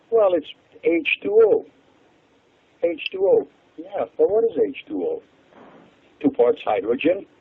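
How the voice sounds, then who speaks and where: Older Hungarian-accented man, gravelly and husky, lively swooping delivery over a tinny phone line. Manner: animated, chuckles often, pitch leaps for emphasis. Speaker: interview guest, water expert. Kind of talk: explanatory interview talk.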